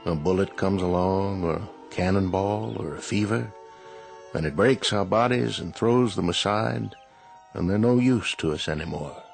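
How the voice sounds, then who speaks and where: An actor playing a young husband in a radio drama, speaking calmly, tenderly and soothingly. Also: male voice, deep voice, creaky, soft background music. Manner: calm, tender, slow and soothing. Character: gentle young husband, soldier's calm.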